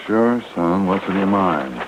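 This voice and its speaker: A man's voice: low voice, expressive, a little slow. A man playing a stern, unbending middle-aged stepfather who speaks formally, in an old radio drama. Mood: distracted. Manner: formal, clipped, authoritative. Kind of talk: 1960s radio drama acting. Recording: dull, noisy vintage radio.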